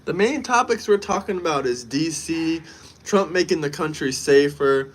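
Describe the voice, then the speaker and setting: Brash, high-voiced American man streaming. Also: animated, normal speed. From United States. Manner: brash, repetitive, emphatic. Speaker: live streamer. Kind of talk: casual live-stream chat.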